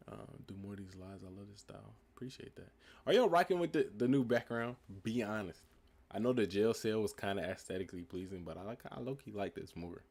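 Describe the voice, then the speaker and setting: A young man from the United States with a calm, laid-back voice, reading live chat questions aloud and answering them in a relaxed, reflective way. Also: lowish voice, creaky. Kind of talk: casual live stream chat.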